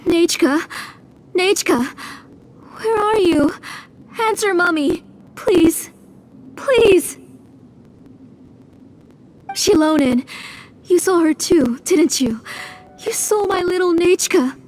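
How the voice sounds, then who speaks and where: High-voiced, desperate grieving mother. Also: slow, long pauses, darkish tone. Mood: frantic, pleading. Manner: pleading, emotionally fraught delivery. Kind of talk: dramatic video game voice acting.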